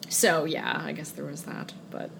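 A woman's voice: low-pitched, wildly swooping pitch, moderate pace, vocal fry, background noise. A woman in her forties with a Connecticut accent, a guest chatting casually in an interview and laughing often.

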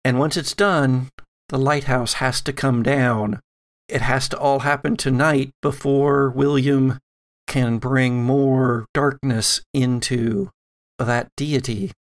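A man playing a solemn, weary old grandmother who speaks gravely and haltingly in a tabletop roleplaying game. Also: medium pitch, fairly even intonation. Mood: grim, wry. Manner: slow, grave, halting delivery.

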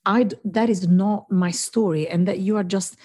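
A woman whose first language is Italian speaks in a warm, soft-edged voice with a breathy texture. She talks effusively in long, winding thoughts as a guest on a podcast. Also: mid-range voice, steady tone, moderate pace. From southern Italy. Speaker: guest, founder of a storytelling network. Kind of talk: casual conversational interview.

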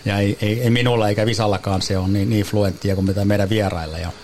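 A man's voice: on the low side, steady tone, fast, a little mellow, a little hiss or hum. A man from Finland co-hosting a podcast, speaking carefully and apologetically as he switches languages. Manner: apologetic, careful, switching between Finnish and English. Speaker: podcast co-host. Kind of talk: casual chat.

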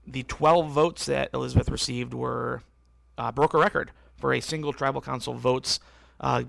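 A man hosting a casual solo podcast, rambling and correcting himself as he talks, with a voice that is going hoarse. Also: medium pitch, a bit bright, no room sound. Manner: rambling, self-correcting, conversational. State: losing his voice, hoarse. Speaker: podcast host.

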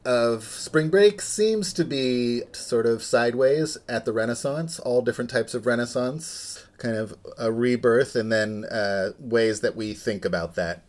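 A man co-hosting a podcast, explaining things casually in a halting, loosely rambling way. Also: medium pitch. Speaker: podcast co-host.

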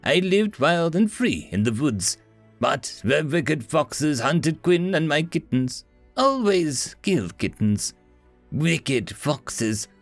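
A man's voice: a little high, big pitch swings, slow, no room sound, faint music behind, pitched above the performer's own voice, exaggerated voice. A man voicing a regal, proud female house cat spirit with an Eastern European accent and broken speech.

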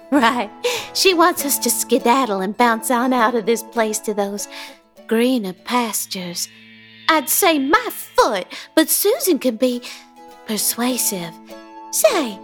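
A woman's voice: a little high, big pitch swings, slow, faint music behind. An actress playing an eccentric, sweet-talking yet sinister young woman with an affected, folksy dialect in a horror comedy radio play. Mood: gushing, cheery. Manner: gushing, repeats words, quirky endearments.

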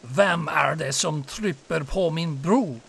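Casting: male storyteller as big fearsome troll, loud and threatening, pitched up a touch, storybook delivery. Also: lively intonation, unhurried, gravelly, a little hiss or hum, full character voice. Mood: challenging. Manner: yelling, threatening. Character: large scary male troll, menacing and yelling.

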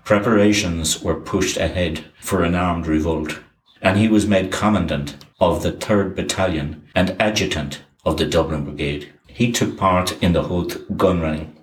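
Deep-voiced man narrating history in an echoey room. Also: slight background noise. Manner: even, measured reading of dates and names. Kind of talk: scripted documentary narration.

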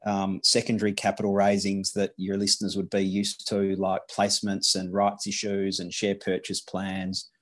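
A man's voice: low voice, completely flat delivery. A man with an Australian accent, a corporate lawyer from Western Australia, answering interview questions with many restarts and fillers. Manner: halting, frequent restarts and fillers. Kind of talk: podcast interview.

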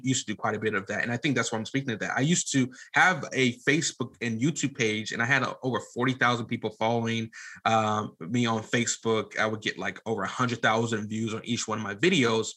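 Male podcast guest, mid-pitched and sharp-edged, casual but emphatic delivery. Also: husky. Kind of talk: casual conversational interview.

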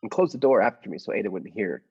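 Meek, fussy little husband for a radio comedy, acted by a man. Stammering, formally polite, husky and very animated. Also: lowish voice, fast. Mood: confiding. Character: timid, henpecked little husband, nervously earnest. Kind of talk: old-time radio comedy play acting. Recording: dull lo-fi audio with music behind.

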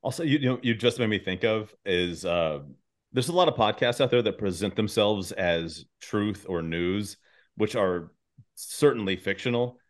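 Low-voiced man chatting casually as podcast host. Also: animated, normal speed. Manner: rambling, thinks aloud, swears casually. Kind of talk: casual chat.

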